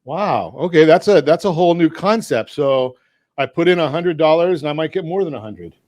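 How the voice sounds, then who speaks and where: Upbeat higher-voiced male radio host. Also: brisk, dry recording. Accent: Southern Californian. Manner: energetic, jokey, asks leading questions. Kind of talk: talk radio with a sponsor read. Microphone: studio mic.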